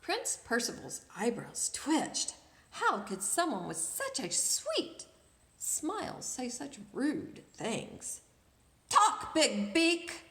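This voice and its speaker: Female storybook reader for kids: high, bright voice, unhurried and expressive, echoey room. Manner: expressive, pauses to ask listeners questions. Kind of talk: animated children's read-aloud.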